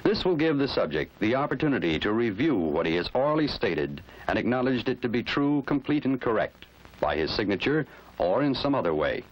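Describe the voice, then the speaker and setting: A man's voice: mid-range voice, big pitch swings, brisk, poor audio. A man with an American accent reading scripted instructional narration in a formal, didactic manner. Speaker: training film narrator.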